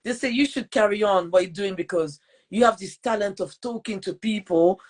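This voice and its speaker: A woman's voice: medium pitch, normal speed, husky. Chatty woman with a French accent. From Portugal. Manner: chatty, upbeat, with many fillers and restarts. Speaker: podcast host. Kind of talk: casual live interview.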